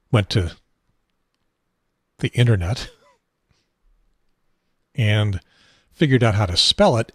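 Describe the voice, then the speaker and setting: A seventy-year-old man with an American accent and a husky, unsteady voice, hosting a casual unscripted talk show in a rambling, halting, wry way. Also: medium pitch, no echo, studio quality.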